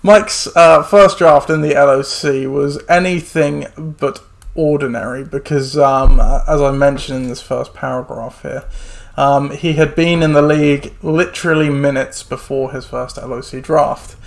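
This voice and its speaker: A man hosting a sports podcast in casual chat, rambling with many fillers and restarts, a little hoarse. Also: on the high side, steady tone, a little slow. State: hoarse, losing his voice. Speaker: podcast host.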